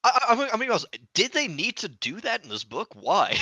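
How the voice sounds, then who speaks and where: A man's voice: high-pitched, wildly swooping pitch, a little fast, pushed and bright, husky, no echo, studio quality. A man on a podcast panel chatting casually and jokingly, informal and opinionated.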